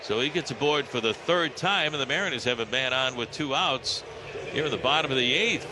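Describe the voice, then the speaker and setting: A noisy live radio broadcast with ballpark ambience behind an American man doing baseball play-by-play. His pitch sits in a normal middle range, and he works in short descriptive phrases, stretching certain calls. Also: a little fast. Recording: radio broadcast with clear background noise.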